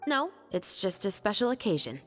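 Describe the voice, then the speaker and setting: Young patrol captain: confident, dry, lively intonation, video game scene with long reverb. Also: female voice, mid-range voice, moderate pace, soft background music. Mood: cool, wry. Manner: matter-of-fact, slyly persuasive. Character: cool, confident young female patrol captain, wry and reserved. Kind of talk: English video game voice acting.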